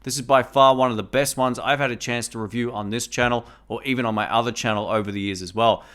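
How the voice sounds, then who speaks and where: A man talks through a product review in a relaxed, enthusiastic way. He speaks into a tabletop condenser microphone in a room at home, explaining each step as he goes. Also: mid-range voice, quick pace. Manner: speaks at varying loudness for gain tests. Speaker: tech reviewer. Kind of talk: casual product review to camera. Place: home room with a fridge nearby.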